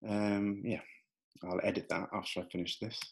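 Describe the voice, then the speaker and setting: A man with a fairly low voice walks through nutrition slides in an easygoing way. He restarts sentences often and leans on fillers. He records at a desktop mic in a small indoor room. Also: normal speed, vocal fry. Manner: relaxed, many fillers and restarts. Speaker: nutrition coach. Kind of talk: talking over slides, casual explainer.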